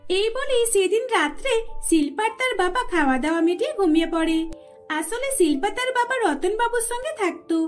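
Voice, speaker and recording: A woman narrates a scripted cartoon tale. Her voice is extremely high in pitch, and music plays along behind her. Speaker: storyteller.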